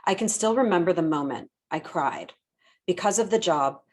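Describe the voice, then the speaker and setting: A woman's voice: lowish voice, animated, normal speed. A woman reading a written first-person testimony aloud.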